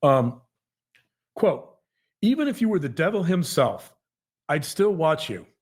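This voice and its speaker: Halting, emphatic man, somewhat high-pitched. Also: big pitch swings, a little mellow, slightly rough. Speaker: podcast co-host, psychologist. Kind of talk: earnest conversational monologue to a co-host.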